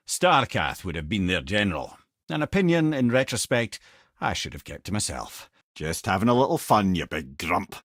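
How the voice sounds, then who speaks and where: Older Scottish advisor for a fantasy game: witty raconteur, colourful old-fashioned turns, expressive pitch, dry studio sound. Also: male voice, no room sound. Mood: storytelling, reflective, then wry, musing. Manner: wry, conversational, colourful old-fashioned phrasing. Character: witty, worldly older male advisor and storyteller. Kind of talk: video game character dialogue.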